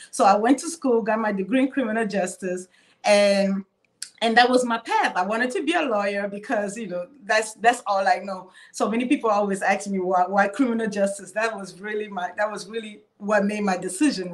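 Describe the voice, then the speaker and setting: Woman from Cameroon, hesitant video-call panelist. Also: mid-range voice. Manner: hesitant, many restarts and fillers. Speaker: panelist, cybersecurity professional.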